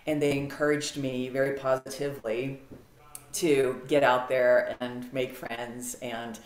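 Woman, middle-aged, from the US, animated storytelling, home office call, echoey audio. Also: rumbling low, measured pace. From United States. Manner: animated, clear, frequent ums. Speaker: podcast guest. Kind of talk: casual interview storytelling. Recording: roomy video-call audio.

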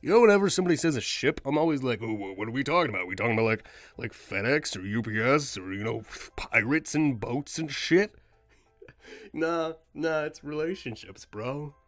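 A man's voice: mid-range voice, animated, fairly quick, no echo, faint music behind. A young man, an amateur voice actor, joking casually in slangy outtakes.

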